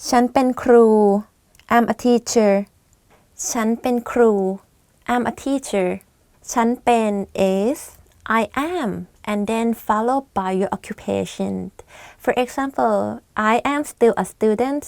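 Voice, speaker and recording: A woman's voice: fairly high pitch, a little fast, a little mellow, no echo. Chatty Thai woman teaching vocabulary. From Thailand. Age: 20s. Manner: chatty, many "like" and "I mean" fillers. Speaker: language teacher. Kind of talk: casual vocabulary lesson.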